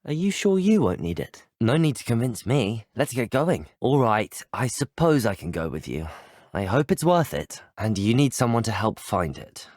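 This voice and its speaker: Game protagonist: young British student wizard, well-spoken, polite, eager to know more; expressive pitch, lightly breathy grain. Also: male voice, moderate pace, dry recording, clean studio sound. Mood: polite, friendly, then curious, frank. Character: polite, earnest, inquisitive teenage male student wizard. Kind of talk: scripted video game dialogue voice lines.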